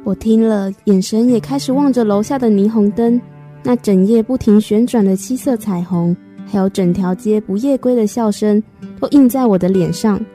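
A woman playing a reflective first-person storyteller in a radio drama, narrating in a measured, literary way. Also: medium pitch, dark tone, soft background music, natural voice. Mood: wistful, reflective.

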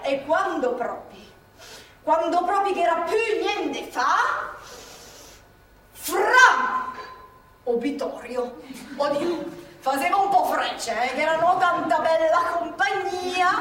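An actress playing an elderly former partisan woman, acting out her wartime memories in a stage monologue. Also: high-pitched. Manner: animated, chatty, acts out past scenes. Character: elderly woman, former partisan hospital helper, lively storyteller. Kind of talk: stage monologue in a radio play. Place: theatre stage. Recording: echoey stage from far off, faint hum.

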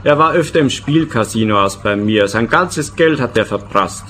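A man's voice: mid-range voice, animated, normal speed, a bit bright, a little hiss or hum, natural voice. An actor playing a bitter, disillusioned male lover in a radio play. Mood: resigned, bitter.

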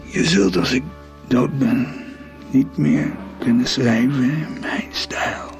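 An actor in a radio soap playing a weary, dying older writer, exhausted and breathy, speaking tenderly as a father. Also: mid-range voice, slow, faint music behind. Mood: fading, tender. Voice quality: breathy, raspy whisper breaking into voice. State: exhausted, weakened, whispery.

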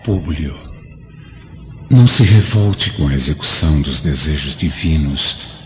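An actor playing the serene, sorrowful spirit of an old friend, speaking solemn, measured Brazilian Portuguese in a radio drama. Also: male voice, a bass voice, animated. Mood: solemn consoling. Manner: solemn, measured, preaching gently. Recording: dull lo-fi radio drama, faint noise.

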